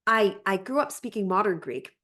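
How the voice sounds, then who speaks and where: A woman's voice: mid-range voice, big pitch swings, normal speed, bright, forceful tone. A woman speaking as a language teacher and interview guest, explaining conversationally and often circling back over her phrasing.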